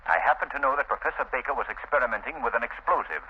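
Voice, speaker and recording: A man's voice: medium pitch, rapid-fire, slightly rough, close to an everyday voice. A man playing a suave, persistent amateur detective with an urbane, wry manner in a 1930s radio drama. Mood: insistent. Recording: dull, lo-fi vintage 1930s radio broadcast.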